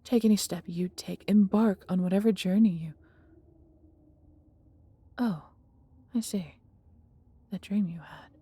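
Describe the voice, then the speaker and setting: A woman voicing a huge, proud, protective female dragon in a fantasy audio roleplay, with breathy, husky delivery and tender, formal, theatrical phrasing. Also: medium pitch, unhurried, pauses often, dark tone. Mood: chiding.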